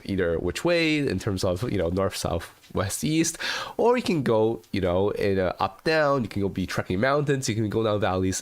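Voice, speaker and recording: A man in his thirties, congested from a cold, chatting casually as host of a livestream, full of "you know" and "right?", from a living room with a webcam. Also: on the low side. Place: living room with a red sofa, indoors.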